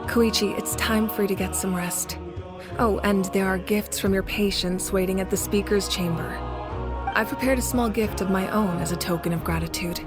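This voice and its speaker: A woman playing a gracious, composed female ruler in a video game, speaking in a measured, authoritative manner. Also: mid-range voice, steady tone, over music, close to an everyday voice. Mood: kindly.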